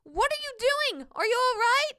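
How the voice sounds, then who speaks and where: Woman as storybook little boy, high bright voice, anxious, excitable. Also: unhurried, dry recording, studio quality, heavily acted. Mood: worried. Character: anxious, excitable young boy with a pet fish.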